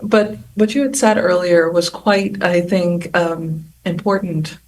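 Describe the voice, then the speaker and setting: A woman speaking as a panel guest in a roundtable discussion, chatty and animated, with many fillers and restarts. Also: on the low side, slow, distant mic, low-quality recording. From Bahamas.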